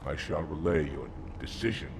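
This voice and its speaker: An actor playing a proud, stern alien military commander in a video game, speaking formally and forcefully. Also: male voice, rumbling low, expressive, normal speed, background noise. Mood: restrained. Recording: loud cinematic score behind.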